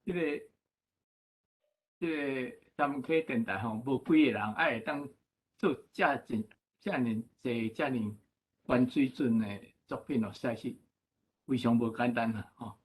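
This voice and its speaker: A man with a Taiwanese accent sharing informal comments at a meeting, halting and adding frequent tag particles to his phrases. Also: medium pitch. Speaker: discussion participant giving feedback.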